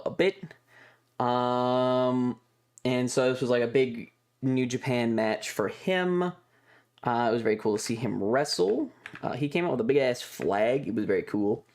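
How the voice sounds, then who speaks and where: Slow-paced man chatting casually on a livestream. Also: medium pitch. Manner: rambling, halting, with stretched pauses. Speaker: streamer. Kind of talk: casual livestream chat over trip photos and videos.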